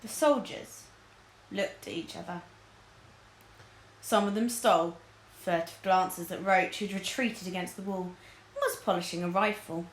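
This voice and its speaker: Woman reading a kids story out loud, expressive, echoey room. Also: on the low side, a little slow, long pauses, slight background noise. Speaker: storyteller reading a children's book aloud. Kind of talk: expressive scripted story narration. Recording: roomy, echoing indoor sound.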